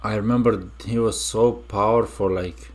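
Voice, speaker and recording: A man's voice: fairly low pitch, steady tone, moderate pace. A young man from Romania hosting a casual reaction video, musing aloud with many fillers and restarts.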